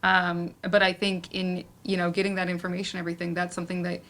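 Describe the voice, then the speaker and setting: Woman, somewhat low pitch, panel moderator, conversational, self-correcting, informal discussion. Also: completely flat delivery, quick pace, fairly bright tone. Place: indoor library event room.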